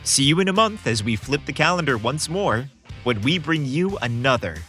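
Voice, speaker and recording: A man's voice: medium pitch, big pitch swings, normal speed, fairly bright tone, faint music behind. A man narrating a scripted story as a podcast host, with a theatrical, florid delivery.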